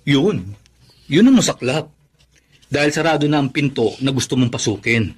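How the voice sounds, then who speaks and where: A man's voice: medium pitch, lively intonation, moderate pace, husky. An actor playing a teasing, wisecracking Filipino male buddy who hands out mock wisdom in radio drama style. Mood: teasing, mock-sorry. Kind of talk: Tagalog radio drama acting.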